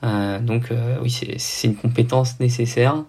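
A man's voice: medium pitch, steady tone, unhurried, darkish tone, breathy edge. Young Frenchman with a neutral accent, explaining hesitantly. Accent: neutral French, no regional accent. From south of France. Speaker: podcast guest, amateur triathlete. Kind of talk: casual interview conversation.

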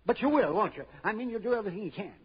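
High-voiced, fretful, fussy older gent. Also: male voice, lively intonation, racing, breathy edge, full character voice. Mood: anxious, flustered. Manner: dithering, stammering, repeats himself, scolding. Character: fretful, fussy, wealthy older man, easily flustered. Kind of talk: old-time radio drama acting. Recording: dull old radio drama recording.

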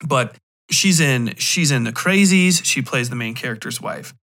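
A man's voice: mid-range voice, lively intonation, unhurried, sharp tone. A man, thirties or older, hosting a podcast in a casual, rambling monologue, cutting himself off with wry, sometimes profane asides. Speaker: podcast host.

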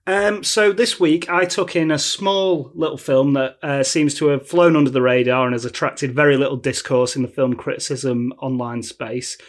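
A man's voice: medium pitch, steady tone, moderate pace, few pauses. A man hosting a podcast, reading a playful intro and making dry, sarcastic jokes. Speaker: podcast host. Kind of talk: casual chat with scripted intro.

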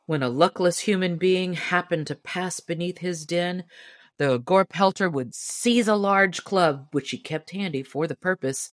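A woman's voice: lowish voice, dry recording. Woman reading a tall tale, close and dry. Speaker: audiobook reader and storyteller. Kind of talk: scripted storybook narration of a tall tale.

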